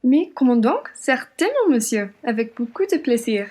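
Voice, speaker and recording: A woman's voice: on the high side, very animated, moderate pace, warm tone. A woman playing a generous young woman traveller in a French radio play, courteous and deferential in manner. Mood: obliging.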